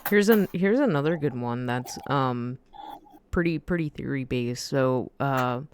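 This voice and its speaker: A woman's voice: extremely low pitch, animated, unhurried, a little mellow, a little hiss or hum. A woman co-hosting a casual podcast, reading out fun facts in a chatty way, with lots of fillers and restarts.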